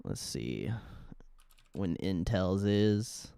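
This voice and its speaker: A man's voice: low voice, big pitch swings, extremely slow, creaky, warm tone, clear, resonant tone, no room sound. A man hosting a livestream, giving casual market commentary in informal, slangy, sweary speech. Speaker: livestream host.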